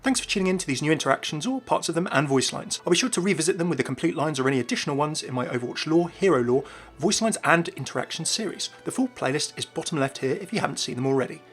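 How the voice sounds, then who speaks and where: A man narrates scripted game commentary. His voice is a little high for a man and moves quickly. Faint music plays behind the voice-over. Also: animated, a bit bright. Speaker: YouTube game lore presenter.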